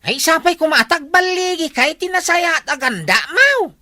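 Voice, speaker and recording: A chatty, excitable village woman from a radio comedy,. Her voice is very high and bright, and it swoops all over as she exclaims. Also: moderate pace, full character voice. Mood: excited. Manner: exclaiming, sing-song. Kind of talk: radio comedy drama acting.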